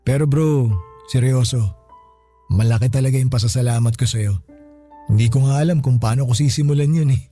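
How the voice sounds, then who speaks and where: A man playing a humbled older brother, a former tough guy, speaking casually and with gruff sincerity in a radio drama. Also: medium pitch, a little fast, pauses often, mellow, close to an everyday voice. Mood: grateful, lighthearted. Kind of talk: radio drama dialogue, slangy and conversational. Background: background music.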